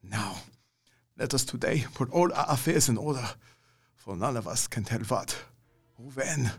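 Grave old professor, husky, lively pitch, fatherly, solemn, audio drama. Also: a little slow, faint music behind. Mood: paternal. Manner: solemn, paternal, odd word order. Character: elderly Dutch professor Van Helsing, wise, grave. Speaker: Van Helsing.

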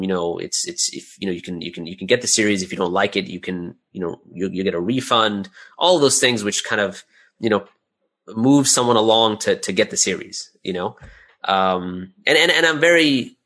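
Low-voiced man explaining casually, hesitantly. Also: a bit bright. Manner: informal, hesitant, often repeating words mid-thought. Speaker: interview guest, audio drama creator and business owner. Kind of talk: casual explanatory interview talk.

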